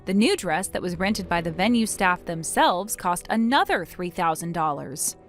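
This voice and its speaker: A woman's voice: on the low side, lively intonation, moderate pace, faint music behind, pitched below the performer's own voice. A woman voicing a wronged bride in a melodramatic story, stern and businesslike, scolding and explaining firmly. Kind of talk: acted dialogue in a melodramatic story.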